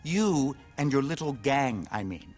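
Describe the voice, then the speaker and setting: An actor playing a smug, cynical, needy politician in a video game, with a pompous, glib and effusive manner. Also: male voice, mid-range voice, very animated, a little slow, a little mellow, soft background music. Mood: smug, scheming. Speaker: politician character. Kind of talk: video game cutscene acting.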